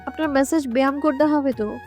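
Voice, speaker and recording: A woman's voice: high-pitched, fast talker, darkish tone, pitched above the performer's own voice, lightly acted. A woman voicing a timid, dutiful young wife in an audio story, pleading and insistent. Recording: music under the voice.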